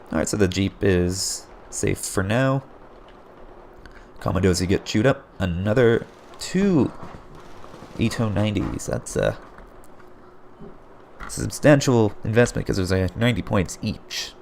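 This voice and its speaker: A low, gravelly man with a hoarse edge calls a game replay play by play. He keeps jumping in with surprised exclamations. A faint hiss sits under his voice. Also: unhurried, long pauses. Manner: excitable, frequent surprised exclamations. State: hoarse, voice getting raspy. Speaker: game caster.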